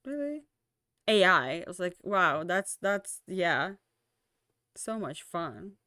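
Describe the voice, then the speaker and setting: A young woman streamer chatting casually at the end of a stream, rambling with lots of fillers and restarts, tired and slowly losing her voice. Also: on the low side, very animated, sharp tone, no room sound, studio quality.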